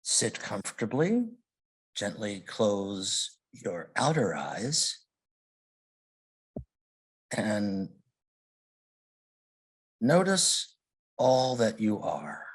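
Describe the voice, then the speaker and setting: A man with a somewhat low, husky voice leads a guided meditation. He speaks very slowly and gently, leaving long pauses between short phrases. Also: sing-song, low-quality recording. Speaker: meditation leader.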